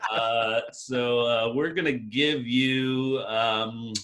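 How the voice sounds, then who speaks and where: Brief: upbeat man with a bright voice who runs improv games and laughs a lot, heard over a video call. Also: medium pitch, fairly even intonation, very slow. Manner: laughs often while talking. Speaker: improv game leader. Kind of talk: casual comedy banter.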